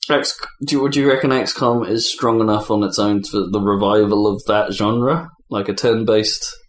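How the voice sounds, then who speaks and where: A man's voice: on the low side, steady tone, normal speed. A man hosting a casual gaming chat, asking questions and thinking aloud. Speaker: podcast host. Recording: echoey room.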